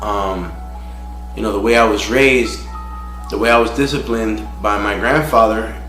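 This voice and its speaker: Low-voiced man telling his story frankly. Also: a bit bright. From Miami, United States. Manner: halting and frank, full of "you know" fillers. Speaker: recovery advocate sharing his story. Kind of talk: casual personal testimony. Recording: roomy echo with faint music.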